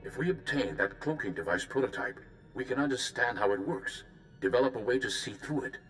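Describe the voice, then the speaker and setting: An actor voicing a calm, principled Jedi mission leader in a video game, with a formal, courteous manner. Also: male voice, mid-range voice, a little fast, soft background music, close to an everyday voice, poor audio. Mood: measured, serious.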